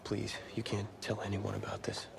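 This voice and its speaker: A man's voice: lowish voice, monotone, normal speed, gravelly, noisy background. A man playing a proud, stubborn young star quarterback in a dramatic video game cutscene, defensive and intense. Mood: defensive, pleading. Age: young adult.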